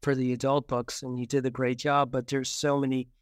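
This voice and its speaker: Male author guest, conversational and halting, dry close sound. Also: medium pitch, little pitch movement, clean tone, no echo. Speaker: children's book author, podcast guest. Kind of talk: casual interview conversation.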